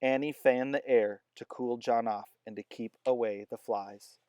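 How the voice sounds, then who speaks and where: Expressive read-aloud storyteller. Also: medium pitch, a little slow, no room sound. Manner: expressive, animated narration. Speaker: storybook reader. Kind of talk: reading a children's book aloud.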